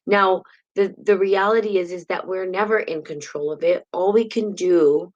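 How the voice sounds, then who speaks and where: A woman's voice: on the low side, steady tone, normal speed, dull audio. A woman hosting a live spiritual talk stream, teaching casually in a rambling way and greeting viewers by name, heard on a built-in device mic. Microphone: built-in device mic instead of her usual mic.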